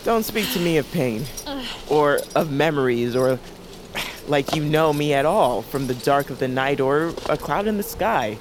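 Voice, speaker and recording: Sharp-tongued female assassin, proud, curt and defiant, for a fantasy audio drama. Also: low voice, animated, a little slow, background noise. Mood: defensive. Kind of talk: dramatic audio fiction acting.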